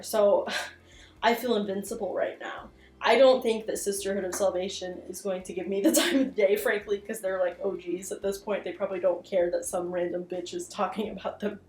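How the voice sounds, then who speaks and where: Female YouTube commentator, medium pitch, informal and self-mocking, echoey room, light music bed. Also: steady tone, moderate pace, a little mellow. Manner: informal, self-deprecating, slangy. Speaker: YouTube commentator, video essay host. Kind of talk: casual video essay commentary. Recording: roomy echo, music underneath. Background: background music.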